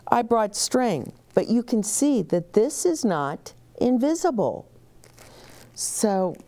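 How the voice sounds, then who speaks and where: A woman reads to young children as a story time host. Her delivery is very slow and deliberate, with lively swings in pitch and a soft, mellow tone. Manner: expressive, deliberate, addressing young listeners directly.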